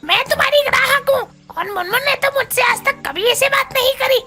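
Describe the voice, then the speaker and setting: A woman's voice: extremely high pitch, brisk. A woman voicing an indignant, plaintive female bird customer in a cartoon. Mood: angry complaint.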